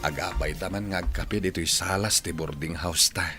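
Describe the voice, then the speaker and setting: A man's voice: low-pitched, normal speed, pushed and bright, faint music behind. A man with a Filipino accent playing a devout older man in love in an audio drama. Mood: grateful, tender.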